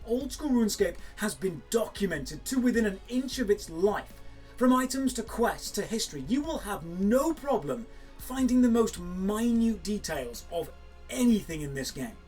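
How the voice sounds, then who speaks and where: Man giving a measured game review. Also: high-pitched, very animated, a little mellow. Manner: measured, candid and direct. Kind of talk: scripted review to camera. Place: indoors in front of a green screen. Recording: faint music under the voice.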